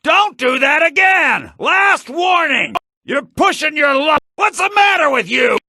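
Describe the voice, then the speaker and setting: An actor playing a brash, sharp-tongued fantasy townsman and fighter in a video game, with a rough, gravelly voice. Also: extremely high pitch, lively intonation, unhurried, dry recording, clean studio sound, full character voice. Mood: angry warning.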